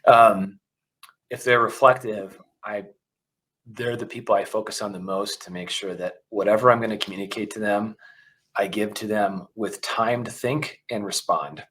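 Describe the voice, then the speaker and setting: A man's voice: fairly low pitch, fairly even intonation, moderate pace, a bit bright, poor audio. A man who runs a company, a guest in an interview, speaking candidly and thinking aloud with fillers. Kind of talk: interview conversation.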